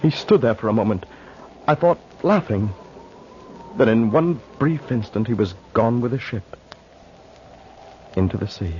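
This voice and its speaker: A man's voice: medium pitch, animated, a little mellow, a little hiss or hum, lightly acted. Guy playing alarmed shipwreck survivor in an old radio play, very swoopy dramatic voice, muffled. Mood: grave, haunted. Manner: dramatic, measured narration rising to urgent pleading. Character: earnest, alarmed male shipwreck survivor telling his tale.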